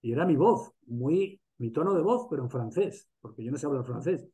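A man with a Spanish accent from Spain chats over a video call. His voice sits a little high, and he keeps restarting and filling pauses as he thinks aloud. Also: lively intonation, mellow, poor audio. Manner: talkative, many restarts and fillers. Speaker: masterclass host. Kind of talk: casual video call discussion.

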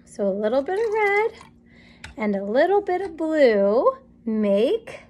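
A woman in her thirties leads a children's story time in a high, very lively voice. She takes her time, with a sing-song, encouraging delivery that pauses around questions for little listeners. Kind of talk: animated picture-book read-aloud for young children. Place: small indoor classroom or playroom.

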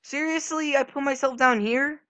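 A young man chatting casually and jokingly while playing a video game, with an animated manner. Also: extremely high pitch, normal speed, dry recording. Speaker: gaming video host and player. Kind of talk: casual gaming chat.

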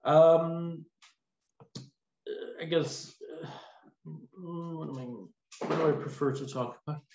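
A man in his forties speaking casually and haltingly as an interview guest over a video call. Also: a little high. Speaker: podcast guest, lawyer. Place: small indoor room, video call. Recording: echoey, muffled video call with noise.

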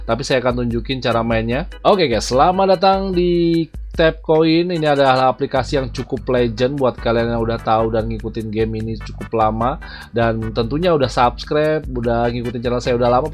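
A man in his thirties hosts a casual gaming video with a chatty delivery. His voice sits at a medium pitch. Music plays behind him as he talks viewers through the game on a headset mic. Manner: chatty, addresses viewers as "guys". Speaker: gaming YouTuber, host. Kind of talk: casual gameplay commentary to camera.

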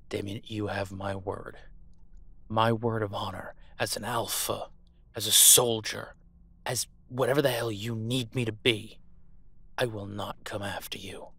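A man's voice: on the low side, fairly even intonation, a little slow, gravelly, sharp tone, slightly rough, dry recording, exaggerated voice. A man playing a captive werewolf alpha soldier in an audio roleplay, defiant, protective and sardonic as he urgently argues and swears. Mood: earnest pleading. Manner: profane, sardonic, urgent persuading.

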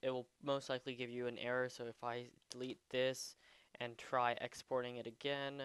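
A man giving a casual, unscripted software tutorial, a bit hesitant as he thinks aloud while demonstrating. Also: mid-range voice, level tone, normal speed, clear, resonant tone, dry recording. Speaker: tutorial presenter.

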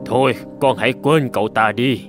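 An actor playing a stern, proud, protective middle-aged father in an animated fairy tale. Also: medium pitch, normal speed, natural voice. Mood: concerned, firm. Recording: background music under the dialogue.

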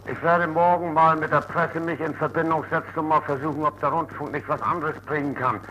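A man's voice: fairly high pitch, fairly even intonation, brisk, sharp tone, a little hiss or hum, low-quality recording. A man making a blunt, indignant complaint over the telephone to a radio station, on an archive recording from the 1950s. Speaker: complaining radio listener phoning in. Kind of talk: angry phone complaint.

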